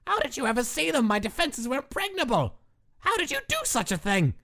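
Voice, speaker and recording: A woman's voice: fairly high pitch, big pitch swings, a little fast, a bit bright, heavily acted. A woman doing a boastful skeleton guy. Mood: indignant. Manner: theatrical, indignant exclamations. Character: loud boastful young male skeleton. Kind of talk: fan comic dub.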